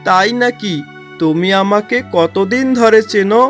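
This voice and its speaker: Young cartoon cowherd: earnest, kindly, exclamatory village lad, light music behind. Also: male voice, high-pitched, expressive, slow pace. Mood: friendly. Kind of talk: dramatized cartoon story dialogue.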